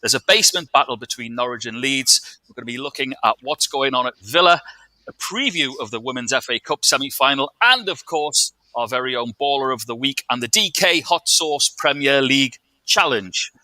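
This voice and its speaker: A man's voice: mid-range voice, lively intonation, normal speed, bright, forceful tone, no echo, rough recording. A man hosting a football chat show, introducing segments and guests in an upbeat way. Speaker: host.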